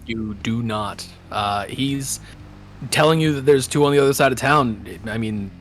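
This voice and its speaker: A man's voice: medium pitch, unhurried, slight background noise. A man running a tabletop game as game master, casually narrating scenes and calling for rolls.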